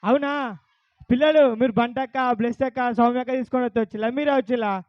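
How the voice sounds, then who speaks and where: A man's voice: very high voice, fairly even intonation, fast, no room sound, muffled recording. A man with a Telangana Telugu accent hosting a puppet show, coaxing a child audience with questions. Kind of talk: lively talk to a child audience.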